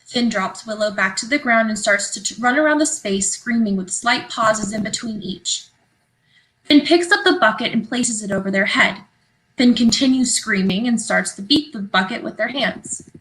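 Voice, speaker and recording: A woman narrates a play's stage directions from the script. Her voice is bright and crisp-edged, sitting slightly high. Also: rough recording.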